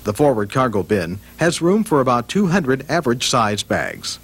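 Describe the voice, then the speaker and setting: A man's voice: mid-range voice, huge pitch swings, moderate pace, breathy edge. A man reading scripted narration for a training film in a formal, factual manner.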